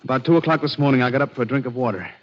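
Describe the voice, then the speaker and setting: A man playing a high-strung, frightened young chemist who pleads in a 1940s radio drama style. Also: very fast, natural voice. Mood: haunted, fearful. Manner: agitated, pleading, haunted. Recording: muffled 1940s radio recording.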